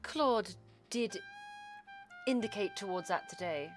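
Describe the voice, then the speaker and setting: A woman's voice: medium pitch, expressive, slow, music in the background. A woman trading dry, teasing banter in character as a detective during a roleplay.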